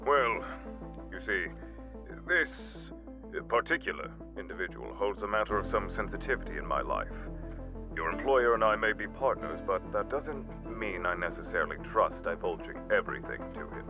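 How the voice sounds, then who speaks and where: A man's voice: low-pitched, animated, moderate pace, music in the background. A man playing a pompous, scheming, impatient mayor in an audio drama, with a wordy, condescending manner. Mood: guarded, haughty.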